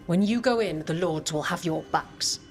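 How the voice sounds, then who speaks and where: A woman's voice: lowish voice, normal speed, soft background music, lightly acted. An actress playing a confident, practical female adventurer and ally in a fantasy video game. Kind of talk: video game cutscene acting.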